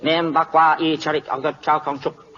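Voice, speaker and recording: An actor playing a servile Siamese interpreter in an old radio drama, speaking clipped broken English. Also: male voice, fairly high pitch, level tone, moderate pace, fairly bright tone, slight background noise, natural voice. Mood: officious. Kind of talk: 1940s radio acting. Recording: muffled old radio recording, long reverb.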